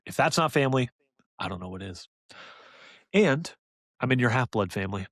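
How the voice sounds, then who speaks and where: A man's voice: mid-range voice, animated, moderate pace, fairly bright tone, no room sound, clean studio sound. Casual male podcast host. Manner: informal, jokey banter.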